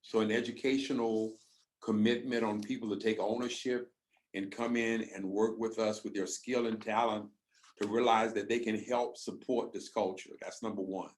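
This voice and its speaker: A man with a fairly low voice answers a panel question on behalf of his community organization. He speaks slowly and deliberately, pausing mid-phrase as he lays out his points one by one. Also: little pitch movement.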